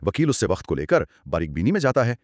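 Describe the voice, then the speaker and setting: Male explainer co-host: articulate, formal phrasing, highly expressive intonation, dry close sound. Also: fast, no echo. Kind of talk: scripted two-host explainer discussion.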